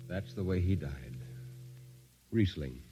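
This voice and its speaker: Low, slow, solemn storyteller. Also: male voice, expressive. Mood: solemn, elegiac. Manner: solemn, measured. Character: solemn elegiac storyteller of space legend. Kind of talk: 1950s radio drama narration. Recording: muffled 1950s radio recording, music behind.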